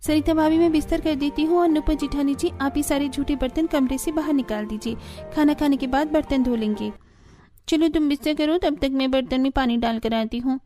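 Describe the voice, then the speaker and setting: A woman plays a young village daughter-in-law in a family cartoon. Her voice is very high and soft-edged, polite, earnest and dutiful, over faint music. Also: brisk, lightly acted. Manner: deferential, earnest, pleading. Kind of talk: Hindi family cartoon voice acting.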